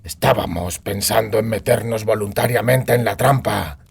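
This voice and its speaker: A gruff monster hunter for a fantasy audiobook. His low voice is extremely gravelly and husky. He speaks tersely and dryly, always guarded. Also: moderate pace, heavily acted. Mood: wary, questioning. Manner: terse, dry. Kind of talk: acted audiobook dialogue.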